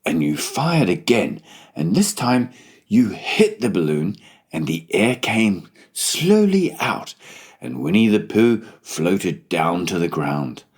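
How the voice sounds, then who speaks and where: A man's voice: sits low, wildly swooping pitch, slow, breathy edge. A man narrating a children's story as a scripted audiobook.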